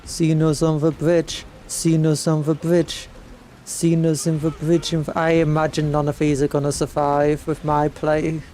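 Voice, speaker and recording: A British woman streaming a game, chatting playfully and excitably. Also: sits low, unhurried, a little hiss or hum. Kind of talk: casual gameplay commentary.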